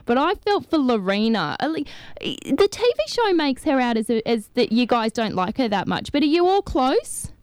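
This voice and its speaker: A woman hosting a breakfast radio show, quick and teasing in a morning chat. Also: sits high, big pitch swings, a little mellow, dry recording.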